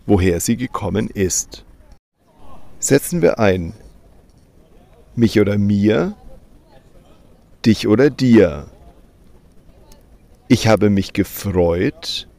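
A man teaching German grammar in a slow scripted voice-over, reading example sentences and repeating them. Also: fairly low pitch, animated, vocal fry, darkish tone.

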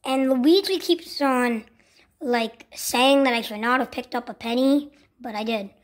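A woman's voice: high-pitched, measured pace. A woman playing an exasperated, accident-prone, complaining video game hero in an improvised toy play skit. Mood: grumbling.

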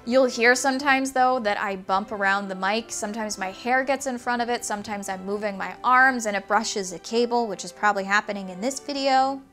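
A young woman with a higher, slightly nasal voice explains her gear step by step in a relaxed, chatty way. She sits in a small home studio and speaks into a lapel mic clipped close. A little music plays softly underneath. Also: a little fast, hardly any pauses. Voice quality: higher-pitched voice, somewhat nasal. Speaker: YouTube creator. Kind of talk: casual explanatory talk to camera. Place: small home studio room with shelves, indoors, not soundproofed. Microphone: small lapel mic clipped to hoodie.

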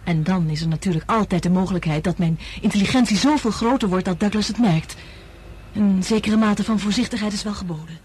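A woman's voice: medium pitch, fairly quick, a little mellow, slightly rough. An actress playing an anxious, determined woman scientist in a radio play. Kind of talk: Dutch radio play acting. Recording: noisy old radio drama recording.

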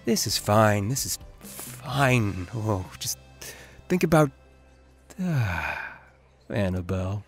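Flustered, anxious teenage schoolboy. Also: male voice, on the low side, expressive, slow, music in the background. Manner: stammering, flustered. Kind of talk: animated web roleplay acting.

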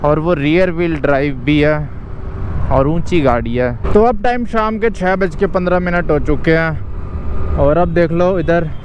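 A young man in his twenties talks casually to his viewers while riding a scooter and driving a small car. His voice sits a little high. Steady traffic and engine noise runs under his words, picked up by an action camera. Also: a little slow, dull audio. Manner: chatty, relaxed, addresses viewers directly. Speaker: vlogger. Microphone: action camera mic.

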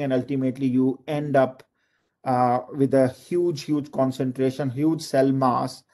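A man's voice: mid-range voice, dark tone. A middle-aged man from Pakistan giving an online lecture from home over a video call, chatty and full of "like, you know" fillers. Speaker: university lecturer.

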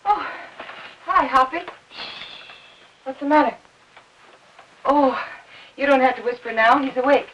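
An actress playing an anxious, gentle housewife in 1950s Hollywood melodrama, earnest and placating. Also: female voice, sits high, measured pace, pauses often, a little hiss or hum. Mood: kindly. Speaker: actress in lead role and narrator. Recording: dull vintage film soundtrack with music.

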